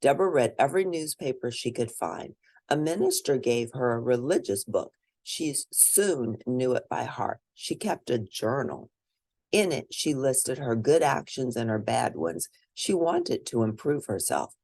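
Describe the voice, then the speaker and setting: Expressive woman reading aloud, very animated pitch. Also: no echo. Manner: deliberate, expressive, teacherly. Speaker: children's read-aloud narrator and educator. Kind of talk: picture book read-aloud with asides to young listeners.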